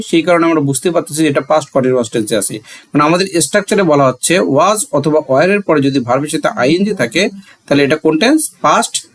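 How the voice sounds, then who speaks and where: A man with a Bangladeshi accent teaching step by step in a didactic way, repeating key words and posing questions that he answers himself. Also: fairly high pitch. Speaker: English grammar teacher. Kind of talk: step-by-step classroom lesson.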